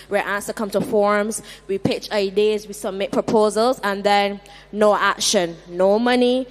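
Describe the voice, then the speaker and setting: Firm woman from Barbados. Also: mid-range voice. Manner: firm, insistent. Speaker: conference delegate. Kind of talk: audience remarks. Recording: hall reverb.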